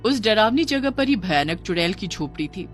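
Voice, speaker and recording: A woman's voice: medium pitch, animated, normal speed, soft background music. A woman narrating a scripted children's ghost story in a dramatic storytelling manner.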